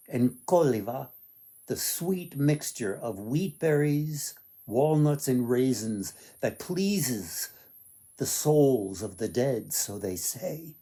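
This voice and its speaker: A man tells a story for young listeners in a mellow voice. He goes slowly, breaking sentences into short phrases and leaning on key words for drama. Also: medium pitch. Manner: deliberate phrasing with dramatic emphasis and pauses. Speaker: author and storyteller. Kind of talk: storybook reading for children.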